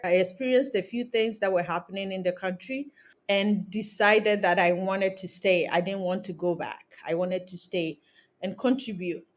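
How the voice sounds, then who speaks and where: A woman in her thirties from Ghana, a guest on a remote podcast interview, telling her career story in a measured way with frequent ums. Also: mid-range voice. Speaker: guest, cosmetics founder. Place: home in Accra, remote call. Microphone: remote call recording.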